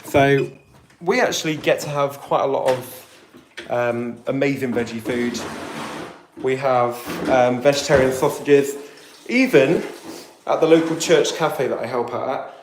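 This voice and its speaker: A man's voice: mid-range voice, a little slow. Chatty British man live-streaming. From Britain. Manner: chatty, reads and answers viewer comments. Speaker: vlogger. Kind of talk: casual live-stream chat while cleaning. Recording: roomy home recording with faint noise.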